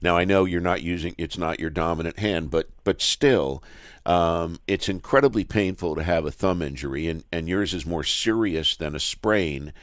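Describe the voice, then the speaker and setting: A man's voice: deep voice, normal speed, no echo, clean studio sound. A man hosting a sports radio talk show, asking rambling questions in a conversational, jokey way. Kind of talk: casual sports radio interview.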